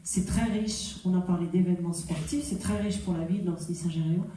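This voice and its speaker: A woman's voice: fairly low pitch, fairly even intonation, brisk, mellow, slight background noise. A woman speaking as a local politician at a public meeting, explaining things in a semi-improvised campaign speech and often correcting herself. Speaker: local politician and mayoral candidate. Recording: very echoey room, distant muffled mic.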